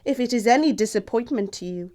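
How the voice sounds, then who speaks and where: A woman's voice: on the high side, fast, mellow, natural voice. A woman acting a snobbish young English society lady in an audio play comedy, with pompous, precise, disdainful delivery. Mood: haughty, cutting. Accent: English upper-class.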